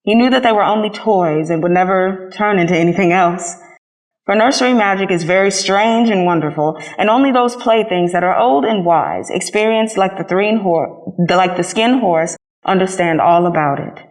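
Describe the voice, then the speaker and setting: Woman narrating a children's storybook, mid-pitched. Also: moderate pace, low-quality recording. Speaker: storybook reader.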